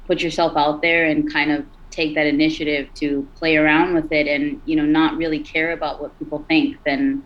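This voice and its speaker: A woman singer with a raspy, rugged voice, chatting as a podcast guest, loose and full of "like" and "you know". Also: deep voice, normal speed, few pauses, muffled recording. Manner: relaxed, many fillers like "like" and "you know". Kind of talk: casual interview talk.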